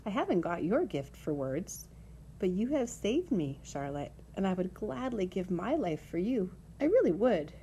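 A woman's voice: medium pitch, big pitch swings, normal speed, mellow, slight background noise. A woman voicing a devoted, emotional young male pig in a storybook, with an earnest, urgent way of speaking.

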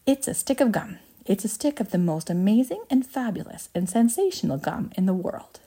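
A woman voicing an excitable, boastful male candy inventor in a storybook, with a grandiose, gushing, emphatic manner. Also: medium pitch, normal speed, husky. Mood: excited.

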